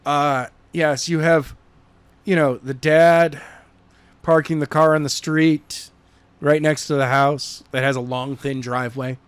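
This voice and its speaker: A man co-hosting a podcast, reading chapters of a novel draft aloud and then chatting about them in an animated, joking way. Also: on the high side, clear, resonant tone, no echo. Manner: animated, jokey, quick to slip into character voices.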